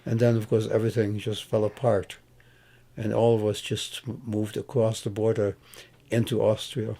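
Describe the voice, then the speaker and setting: A man in his eighties from Hungary, recalling his past in a halting, casual way with many pauses and fillers. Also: on the low side, level tone, mellow. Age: 80s. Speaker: interviewee grandfather. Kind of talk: casual recollection.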